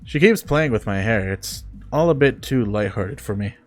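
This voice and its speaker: Low voiced guy streamer reading visual novel out loud, jokey grossed out comments, soft music behind. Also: animated, moderate pace. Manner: jokey, mock-disgusted asides. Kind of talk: reading a visual novel aloud with casual reactions.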